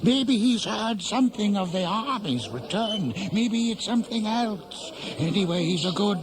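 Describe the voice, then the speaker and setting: A man's voice: sits high, animated, a little slow, crowd noise. An actor playing a dignified old man making a formal address in a 1970s radio drama. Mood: solemn. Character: old, bent, dignified male elder addressing assembly. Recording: very noisy cassette-era radio play.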